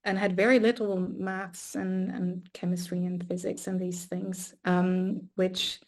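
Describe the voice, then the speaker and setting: A woman's voice: on the low side, flat delivery, measured pace. A woman, an astrophysicist and artist, talking through her path in a thoughtful, casual way with frequent restarts, recorded over a video call. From Austria. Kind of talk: casual conversation.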